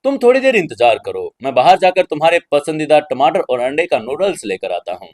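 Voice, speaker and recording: A man's voice: a little high, big pitch swings, fairly quick, a little mellow. A narrator, male, plays an affectionate young man in an audio drama. He sweet-talks his partner with upbeat, attentive eagerness.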